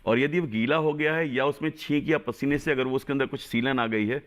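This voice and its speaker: Doctor, man, mid-pitched voice, emphatic, repeats key points. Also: fairly even intonation, brisk. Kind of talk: medical advice on a call-in show.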